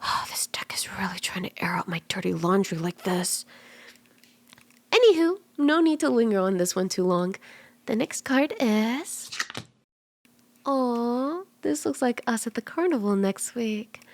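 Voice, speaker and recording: Kitsune tarot reader acted by a woman: flirty, playful, soft and intimate ASMR delivery with noticeable vocal fry and some whispering. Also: a little high, animated, measured pace. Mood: teasing, intimate, then sweet, flirty. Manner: teasing, reassuring, conversational. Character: flirty, playful female kitsune fortune teller.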